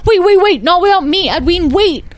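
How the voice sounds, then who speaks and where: Woman playing an anxious high-voiced little dragon. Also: animated, moderate pace, no room sound. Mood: panicked pleading. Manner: pleading, protesting. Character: anxious, argumentative young dragon, whiny little sibling. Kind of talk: children's storybook character voice.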